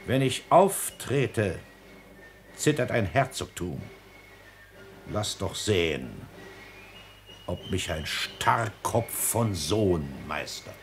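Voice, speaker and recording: A domineering, powerful statesman in a vintage radio drama. He speaks slowly and imperiously in a low voice, over faint noise. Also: lively intonation, heavily acted. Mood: menacing. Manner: imperious, slow, emphatic. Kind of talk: 1950s German radio play acting.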